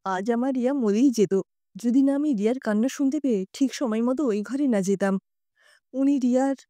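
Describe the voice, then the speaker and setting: A stern older aunt played by a woman narrator in an audio story, hurt and righteous. She scolds with emphasis in a mellow voice. The recording is close and dry. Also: on the high side, moderate pace, no echo, pitched below the performer's own voice, natural voice. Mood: pained, righteous.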